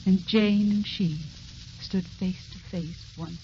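An actress narrating a wistful romance in the scripted style of vintage radio drama. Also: female voice, medium pitch, fairly even intonation, slow pace, noisy background. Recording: dull vintage radio recording over music.

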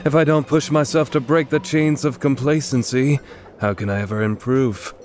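A man's voice: medium pitch, steady tone, moderate pace, darkish tone, soft background music, natural voice. A man voicing a stoic, battle-hungry young swordsman in a game fan dub, terse and formal. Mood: aloof, disdainful.

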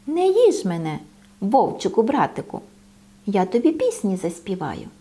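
A woman's voice: mid-range voice, wildly swooping pitch, a little slow, warm tone. A woman voicing a cheeky little runaway bread roll in a childlike, sing-song chant for a children's fairy tale. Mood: pleading, coaxing.

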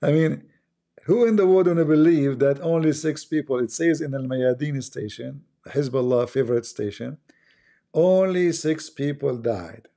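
A man's voice: a little high, moderate pace, warm tone. A man with a Middle Eastern accent hosting a casual live stream, talking bluntly and mocking what he reads. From Middle East.

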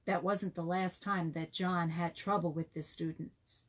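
A woman reading a children's story expressively, with animated emphasis and questions put to young listeners. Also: lowish voice, unhurried.

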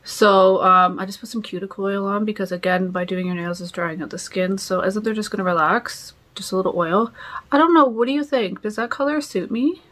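A woman's voice: mid-range voice, fairly quick, fairly bright tone. A woman in her thirties chatting casually as a nail vlogger, rambling and questioning herself as she thinks aloud. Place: home nail studio room. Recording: clear background noise with clicks.

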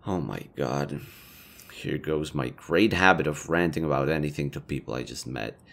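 Man acting as low-voiced, awkwardly flirty elven leader. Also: normal speed, vocal fry, fairly bright tone, exaggerated voice. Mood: sheepish, apologetic. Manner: conversational, hesitant, rambling, casually profane. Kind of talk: intimate roleplay audio drama.

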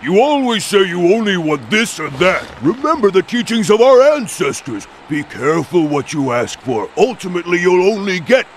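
A man's voice: high-pitched, sing-song, normal speed, few pauses, slight background noise. A man voicing a big, brash, dim-witted bird henchman, loyal and blustering, in a video game cutscene. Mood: scolding. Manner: blustering, bumbling.

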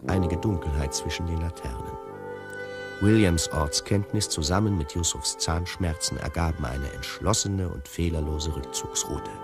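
An actor playing a wry, fastidious tiger who narrates a radio drama in a measured, ironic, formal way. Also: male voice, low-pitched. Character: wry, fastidious male tiger recounting his escape.

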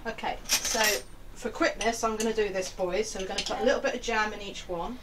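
A woman's voice: medium pitch, fairly even intonation, brisk. Older woman, grandmotherly and encouraging, casually instructing children; sits away from the mic in a noisy kitchen. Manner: encouraging, patient. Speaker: grandmother leading children's baking. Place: small home kitchen. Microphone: handheld camera a few feet away. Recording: noisy handheld camera, distant mic.

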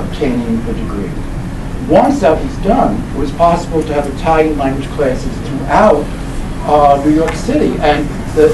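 Senior male lecturer, 70s, slightly high voice, halting but emphatic, roomy sound with clear background hum. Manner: halting delivery with repeated words and emphatic stresses. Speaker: lecturer, professor. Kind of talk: informal public lecture, book presentation. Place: small indoor meeting room. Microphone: camera mic a few metres away. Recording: roomy, distant mic, loud ventilation hum.

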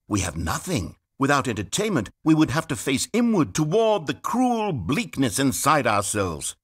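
An actor voicing a bitter, grandiose male narrator in a game, speaking in a measured, dramatic and theatrical way. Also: medium pitch, fairly bright tone. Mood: indignant.

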